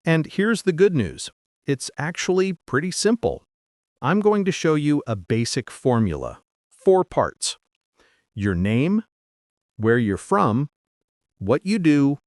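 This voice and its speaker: Male podcast host teaching English: slow, clear, upbeat, lively pitch, dry close mic. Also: no room sound. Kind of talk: scripted English lesson.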